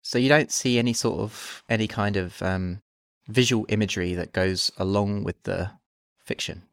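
Calm low-voiced male host. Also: creaky, dry recording, studio quality. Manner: calm, guiding, slow listing of prompts. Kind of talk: guiding imaginative exercises in conversation.

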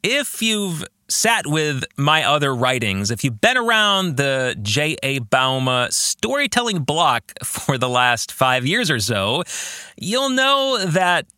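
A man's voice: on the high side, big pitch swings, slow pace, sharp tone, smooth, dry recording, studio quality. A man hosting a podcast, narrating in an earnest, emphatic way as an author introducing his work.

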